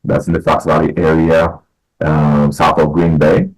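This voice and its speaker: A middle-aged man from Haiti, a guest on a podcast, talking in a measured way with many fillers and restarts. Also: very deep voice, level tone, low-quality recording. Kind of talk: casual interview talk.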